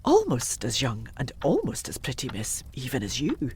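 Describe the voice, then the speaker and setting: A woman's voice: extremely low pitch, huge pitch swings, normal speed, a little mellow, slight background noise, heavily acted. A woman playing a loyal, plain-spoken older housekeeper in a gothic period audio drama, emphatic yet deferential. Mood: guarded. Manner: deferential, emphatic, old-fashioned servant speech.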